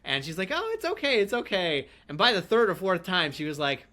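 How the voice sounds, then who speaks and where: A man's voice: sits high, big pitch swings, a little fast, sharp tone. A man hosting a casual group chat in a chatty, joking way, often breaking into laughter. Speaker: podcast host.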